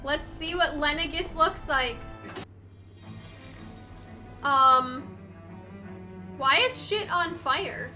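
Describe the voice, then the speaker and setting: Female Let's Play host: high bright voice, unhurried, informal reactions, faint game music behind. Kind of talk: casual gameplay commentary.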